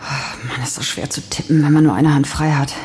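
A woman's voice: very deep voice, steady tone, brisk, a little mellow, a little hiss or hum. An actress playing a flustered mother in a naturalistic radio drama, with an intimate, muttering manner. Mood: aroused, frustrated.

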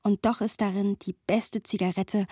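An actress plays a thoughtful young literary woman in a radio play. Her voice sits at a middle pitch with a soft husk, recorded very dry over music. She phrases earnestly and with measured care, reflective yet passionate. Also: level tone, slightly rough, no room sound, close to an everyday voice. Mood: reflective narration. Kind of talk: radio drama narration and dialogue.

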